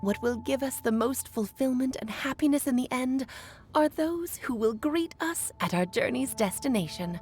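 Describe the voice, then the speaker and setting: A woman voicing a playful, wise, centuries-old mage in a video game, telling her tales theatrically with emphatic pauses. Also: sits high, a little mellow, faint music behind, close to an everyday voice. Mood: warm, reflective.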